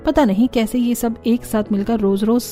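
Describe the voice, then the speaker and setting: A woman plays a weary, helpful elder sister-in-law from a cartoon story. The voice is mellow and fairly high, with emphatic explaining, over faint music. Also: fairly even intonation, moderate pace, close to an everyday voice. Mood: weary, grumbling. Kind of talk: Hindi cartoon story character acting.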